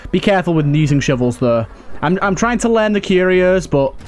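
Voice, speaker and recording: A man's voice: high-pitched, lively intonation, brisk, a little mellow. A man giving casual let's play commentary as a gaming host, thinking aloud and often repeating his phrases. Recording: clear background game ambience. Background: video game ambience and effects.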